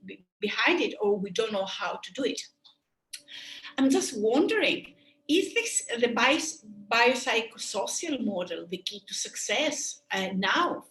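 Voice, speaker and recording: Greek-accented woman giving an echoey webinar talk. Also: on the high side. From Greece. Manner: earnest, thoughtful, many "um"s and restarts. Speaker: sports medicine doctor presenting a webinar. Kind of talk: webinar presentation.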